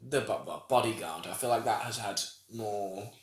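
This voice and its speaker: A man's voice: on the low side, moderate pace. Rambling nasal man, echoey room. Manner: rambling, self-interrupting, laughs often. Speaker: podcast co-host. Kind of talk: casual chat.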